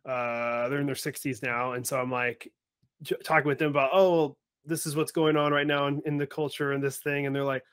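A young man hosting a podcast over a video call, chatting casually and telling stories with lively acted-out voices. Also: mid-range voice, a little fast, clear, resonant tone. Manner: animated storyteller, acts out voices in anecdotes. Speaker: podcast host. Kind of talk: casual interview chat. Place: home or office, video call.

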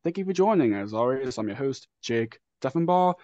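Eager young male host, lively pitch. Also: a little fast, dry recording, muffled recording. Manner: eager, repeats fillers, upbeat.

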